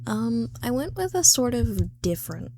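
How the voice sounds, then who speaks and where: A woman ASMR creator in a casual, unscripted chat, flustered and self-deprecating, often dropping into soft, breathy tones. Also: fairly high pitch, sing-song, moderate pace, a little hiss or hum.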